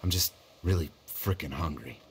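A man's voice: rumbling low, moderate pace, background noise. A man with an American accent playing a frustrated, hungry, talkative survivor in a video game. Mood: grumbling, wry.

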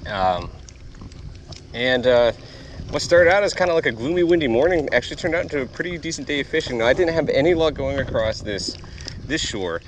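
A man's voice: medium pitch, expressive, fairly quick, a little hiss or hum. A man giving casual, laid-back vlog commentary as he fishes, recorded on an action camera outdoors in a kayak. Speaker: fishing vlogger. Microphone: body-worn action camera.

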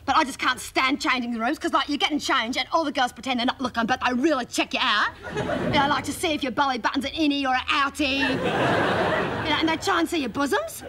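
A woman's voice: high-pitched, a little fast, natural voice. A woman comedian playing a gossipy, indignant, cheeky Australian schoolgirl, telling rambling slangy stories in a stand-up monologue. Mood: indignant, confiding. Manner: breathless rambling slangy storytelling. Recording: live stage, loud audience laughter and noise. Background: loud laughing crowd.

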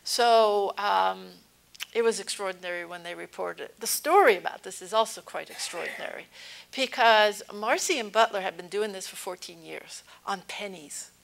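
Woman, 60s, science lecturer. Gravelly creak, mid-range pitch, conversational explainer at a podium. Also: moderate pace, fairly bright tone, low-quality recording. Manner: conversational, explanatory, with plain-spoken asides. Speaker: astronomy lecturer. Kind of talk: informal public science lecture. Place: indoor stage at a podium. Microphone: lapel mic.

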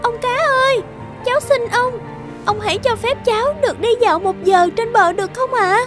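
A woman voicing a gentle, anxious young maiden in a cartoon fairy tale, politely and plaintively. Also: extremely high pitch, moderate pace, music in the background. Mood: pleading, wistful.

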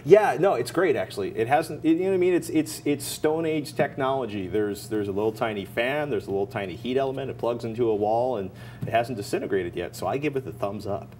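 A man's voice: medium pitch, a little fast, darkish tone, slight background noise. A man in his forties with an American accent, talking casually and emphatically as a show co-host. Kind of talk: casual explaining and sponsor reads. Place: TV studio at a desk.

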